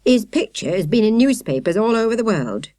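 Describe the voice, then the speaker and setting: A woman voicing a stern, proud, blustering British male police sergeant in a children's storybook, brisk and emphatic. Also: medium pitch, big pitch swings, mellow, no echo, clean studio sound, pitched above the performer's own voice, natural voice. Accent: British English.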